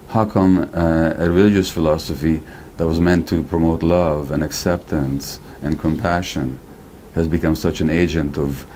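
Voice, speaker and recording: An older man with a very deep, dark and mellow voice, talking in a measured, deliberate way. Faint noise sits under the played-back interview clip. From Hungary. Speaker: therapist, interviewee.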